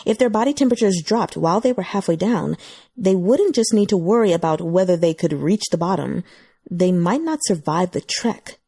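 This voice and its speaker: A woman's voice: lowish voice, expressive, normal speed, mellow, husky, no room sound, studio quality. A woman reading scripted fiction as an audiobook narrator.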